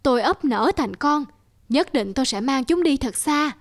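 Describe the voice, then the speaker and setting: High-voiced, tender mother hen. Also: normal speed, no echo, studio quality. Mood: pleading. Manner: caring, pleading, expressive. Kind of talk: Vietnamese cartoon dubbing. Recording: music behind the voice. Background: music.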